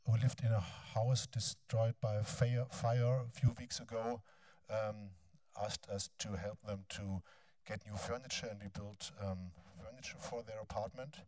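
A man in his fifties with a German accent gives a lecture in a large bright hall on a handheld mic, hesitating often with ums and restarts. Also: on the low side, steady tone. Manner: halting, frequent ums and restarts. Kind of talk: academic lecture, then reading a text aloud.

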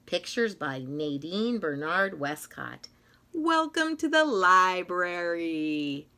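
A middle-aged woman reading a children's picture book aloud like a teacher, in an expressive, rhythmic sing-song manner with drawn-out phrases. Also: lowish voice. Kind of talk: read-aloud of a children's story. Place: small indoor classroom-style room.

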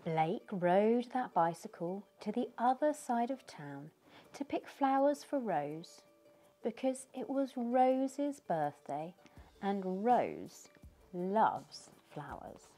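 A middle-aged British woman reads a children's picture book aloud, slow and unhurried, her voice rising and falling in a sing-song way. Her tone is mellow and gentle, and she pauses to ask her young listeners questions. Faint music plays behind her. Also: medium pitch, sing-song. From United Kingdom. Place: living room by a fireplace.

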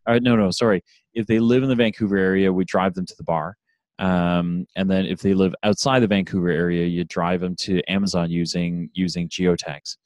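A man, co-hosting a casual podcast chat over a video call, eager and full of quick yeahs and restarts. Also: sits low, little pitch movement, a little mellow, no echo. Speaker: podcast co-host.

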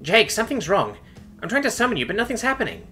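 A man's voice: sits high, wildly swooping pitch, unhurried, sharp tone, faint music behind, voice pushed up, full character voice. A man voicing an alarmed, urgent young woman summoner in an audiobook. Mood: panicked. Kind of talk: audiobook character voice.

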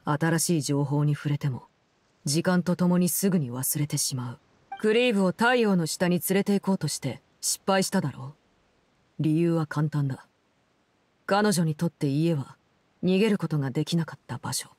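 An actress playing a cold, commanding woman in an anime game, speaking in a measured, formal, detached way. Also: low voice, a little mellow, dry recording. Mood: calm, resolute. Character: cold, composed, commanding female Fatui Harbinger in her prime. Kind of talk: Japanese anime game voice acting, solemn storytelling.

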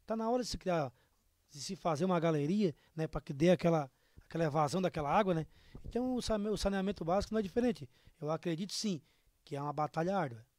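A man's voice: fairly high pitch, lively intonation, quick pace, darkish tone, no room sound. A man in his thirties with a southern Brazilian accent, speaking earnestly and colloquially as a candidate in an interview, into a studio microphone. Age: 30s. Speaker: political candidate being interviewed. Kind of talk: interview answers, campaign appeal. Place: small indoor studio. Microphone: microphone on a stand.

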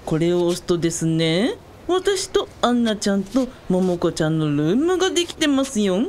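A woman's voice: mid-range voice, big pitch swings, normal speed, a little mellow, a little hiss or hum, lightly acted. A woman playing a bubbly, helpful female character in a radio drama. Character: bubbly, helpful woman who speaks in third person.

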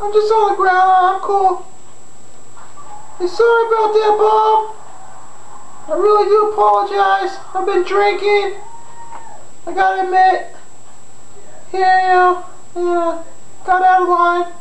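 Very squeaky, brash drunk frat boy. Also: little pitch movement, slow pace, creaky, long pauses, lo-fi sound, heavily acted. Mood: sheepish. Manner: taunting, slangy, shouting. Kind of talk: amateur comedy puppet show. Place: living room, behind a table. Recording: echoey living room, faint noise.

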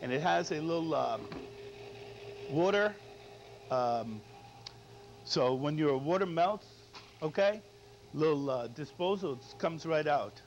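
Middle aged guy chef explaining stuff in a kitchen, slightly high voice, bit muffled lo-fi sound. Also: lively intonation, slow, pauses often. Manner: frequent tag questions "all right?". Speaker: chef, cooking instructor. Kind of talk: cooking demonstration, unscripted instruction. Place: studio kitchen. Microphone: lapel mic. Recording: dull lo-fi audio, background noise.

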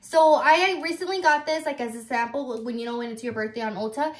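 Chatty young woman, high voice. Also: fairly quick. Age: 20s. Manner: chatty, lots of "like" fillers. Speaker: YouTuber. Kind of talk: casual chat. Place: bedroom. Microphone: phone camera. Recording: echoey room.

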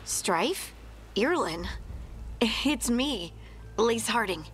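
An actress playing a friendly, plain-spoken scout who guides the group in a video game cutscene. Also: female voice, a little high, expressive, very slow, slight background noise. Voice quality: friendly, direct.

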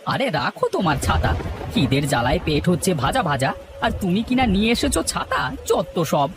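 A man's voice: sits high, very animated, moderate pace, noisy background. A man voicing an exasperated, hungry village husband in a comic cartoon, complaining with melodramatic flair. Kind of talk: Bengali comic cartoon dialogue.

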